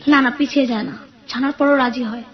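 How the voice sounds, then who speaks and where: A woman's voice: high voice, fairly even intonation, quick pace, muffled recording. A young woman with a Bangladeshi accent giving a personal account as an interview guest. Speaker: guest telling her life story.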